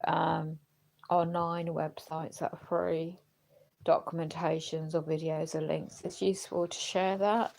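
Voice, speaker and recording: A woman livestreaming in a rambling, halting way, earnest and questioning, her voice going as if she is unwell. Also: low-pitched, darkish tone, slight background noise, poor audio. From United Kingdom. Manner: halting, searching for words. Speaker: live streamer. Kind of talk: rambling personal livestream talk.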